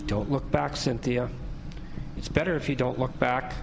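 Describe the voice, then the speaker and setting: An actor playing a sly, insinuating retired reverend who is a ghost in an audio drama, deliberate and taunting. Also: male voice, mid-range voice, fairly even intonation, creaky, noisy background, close to an everyday voice. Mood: quietly commanding. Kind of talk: audio horror drama acting. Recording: reverberant hall, music underneath.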